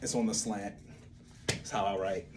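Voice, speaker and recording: A man's voice: on the low side, steady tone, normal speed, very noisy. A man giving an informal whiteboard lesson, thinking aloud in a rambling way and often interrupting himself. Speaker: creative methods teacher and playwright.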